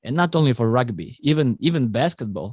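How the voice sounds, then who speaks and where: A man's voice: medium pitch, fairly quick, slightly rough, dry recording, clean studio sound. A man, telling his story as a podcast guest in slightly phrasing. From Croatia. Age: 20s. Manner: non-native phrasing, fillers, lively storytelling. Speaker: podcast guest, rugby player. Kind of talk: casual interview storytelling.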